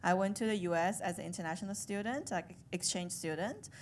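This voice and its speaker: A woman in her thirties from China with a Chinese accent, whose first language is Chinese, doing a stand-up comedy set with deadpan, self-mocking delivery. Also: lowish voice, brisk, slight background noise. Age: 30s. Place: comedy club stage.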